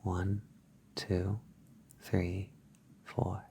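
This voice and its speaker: A man leading a soft-spoken guided hypnosis, soothing and reassuring, gently repeating his phrases on a close mic. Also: low-pitched, monotone, very slow, gravelly, slight background noise. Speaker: hypnosis audio creator guiding the listener.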